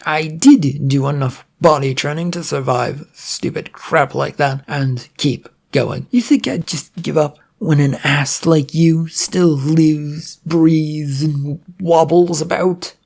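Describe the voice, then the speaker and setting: A man's voice: a little high, fairly even intonation, slow pace, creaky, a little mellow, heavily acted. A man voicing a brash, irritable villain in a story, cocky, sneering and foul-mouthed, itching for a fight. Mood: irritated.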